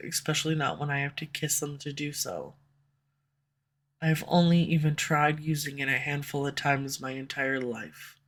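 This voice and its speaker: A woman voice actor playing a proud, guarded female villain who swears and turns defensive when pushed, recorded on a USB condenser mic. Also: sits low. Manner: curses often, defensive and flustered when pressed. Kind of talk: audio roleplay acting. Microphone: USB condenser microphone.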